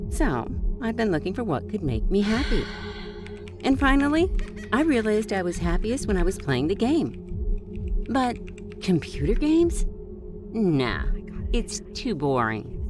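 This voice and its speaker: Woman acting a theatrical, unhinged game mistress, swooping pitch. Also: big pitch swings, unhurried, over music. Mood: gleeful, menacing. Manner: theatrical, taunting. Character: gleeful, unhinged woman running a deadly real-life game. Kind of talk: dramatized story dialogue.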